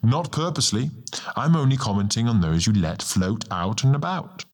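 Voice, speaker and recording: A man voicing a serene, ancient male star guardian, a measured and lightly amused mentor in an audiobook. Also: lowish voice, sing-song, darkish tone. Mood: casual, explaining. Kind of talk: audiobook character dialogue. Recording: music bed under the voice.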